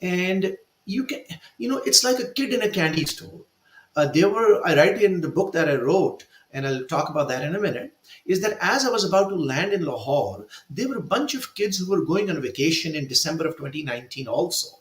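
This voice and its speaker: A man's voice: fairly high pitch, a little fast, roomy sound. A man from India, an author, telling personal stories with feeling during an online discussion. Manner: emotional, animated storyteller. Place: virtual event, video call. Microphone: video call.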